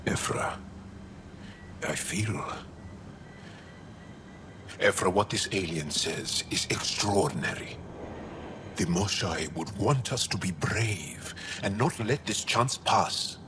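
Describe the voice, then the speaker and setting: An actor playing a solemn, blunt male alien envoy in a video game, speaking in a measured, formal way. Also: low-pitched, background noise. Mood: solemn, pleading. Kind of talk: video game dialogue acting.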